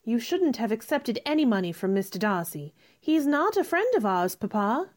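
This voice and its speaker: A woman's voice: on the high side, big pitch swings, moderate pace, darkish tone. A woman playing a spirited, self-possessed young lady in a radio play, speaking politely but firmly and with a stubborn streak. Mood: upset, insistent. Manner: polite but firm, pointed. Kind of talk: radio theater acting.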